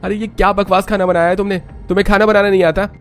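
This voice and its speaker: A man acts an angry young husband in an animated family story. The voice is pushed high and loud, with a harsh, scolding edge. It moves quickly over faint music. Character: hot-tempered young husband barking at his wife.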